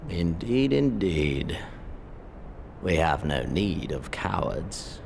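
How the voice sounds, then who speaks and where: Deep, arrogant, menacing imperial prince. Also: male voice, big pitch swings, gravelly, noisy background, exaggerated voice. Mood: cold, menacing. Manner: theatrical, deliberate, swinging from quiet menace to outburst. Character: cold, arrogant imperial prince who relishes the hunt. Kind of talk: dramatic video game cutscene acting. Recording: music behind the voice.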